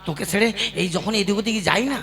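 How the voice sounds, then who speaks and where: A man's voice: high voice, quick pace, creaky, a bit bright, faint music behind. A man playing an ageing, scheming troupe-master husband in a comic puppet play, coaxing with exaggerated sweet talk.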